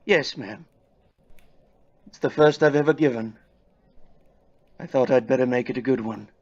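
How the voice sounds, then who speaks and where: A man acts out an elderly reformed miser in a Victorian storybook drama. He speaks slowly and haltingly, with earnest, tender courtesy. The pitch is middling and the recording is dull and lo-fi. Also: lightly acted. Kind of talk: audio play acting of Victorian storybook.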